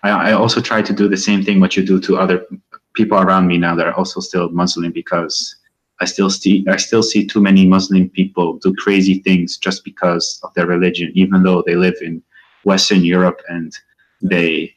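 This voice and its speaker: A man's voice: sits low, little pitch movement, normal speed. A man whose first language is Dutch, calling in to a show and speaking sincerely, with some hesitation. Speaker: caller. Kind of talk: phone-in conversation. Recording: dull lo-fi call audio.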